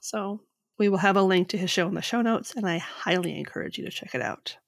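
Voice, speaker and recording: Gravelly-voiced woman podcast host. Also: mid-range voice, fairly quick, dry recording, studio quality. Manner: reads notes aloud, says her voice is getting raspy. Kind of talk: casual podcast chat.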